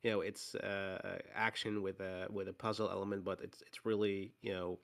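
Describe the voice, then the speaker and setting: A man co-hosting a gaming podcast, hoarse from a cold, talking casually with many fillers and restarts. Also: low-pitched, little pitch movement, moderate pace, fairly bright tone. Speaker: podcast co-host. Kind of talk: casual chat.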